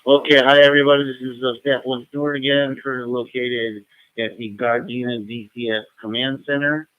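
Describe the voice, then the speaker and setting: A man's voice: medium pitch, flat delivery, moderate pace, dry recording. A man checking in informally to a net over two-way radio. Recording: tinny, dry two-way radio transmission.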